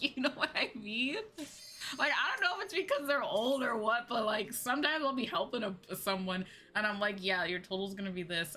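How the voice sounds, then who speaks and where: A woman's voice: medium pitch, bright, forceful tone, a little hiss or hum. A woman streamer talking casually and conversationally in a livestream chat. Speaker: VTuber streamer.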